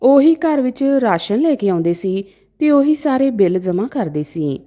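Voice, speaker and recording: A woman storyteller delivers a dramatic first-person narration. Her voice sits on the higher side and is soft and mellow in tone. The pitch rises and falls in a lively way as she tells the scripted story. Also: normal speed.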